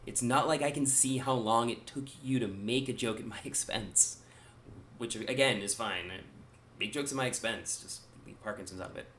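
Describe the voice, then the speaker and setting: A man's voice: mid-range voice, moderate pace, fairly bright tone, slight background noise. A man, a gaming channel host, talking casually to his viewers in a rambling, wry way with self-deprecating asides. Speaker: gaming YouTuber.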